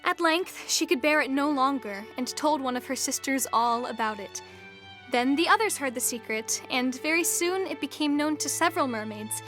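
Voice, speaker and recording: Female fairy-tale narrator, high voice, scripted storytelling with clear musical backing. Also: fairly bright tone.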